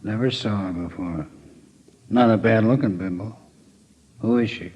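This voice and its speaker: A man's voice: fairly low pitch, moderate pace, darkish tone, breathy edge. An actor playing a big, casually menacing henchman in an old-time radio crime drama, with terse, slangy talk. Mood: casual, probing. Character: smooth-talking big male henchman, casually nosy and menacing. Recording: dull old radio recording, faint noise.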